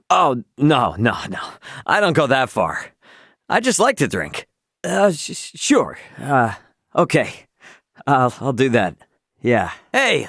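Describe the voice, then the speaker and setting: A man playing a brash, easygoing young spaceship crewman in a video game, with casual, slangy, expressive speech. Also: medium pitch, no room sound, studio quality. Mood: casual, musing. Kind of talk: video game character voice acting.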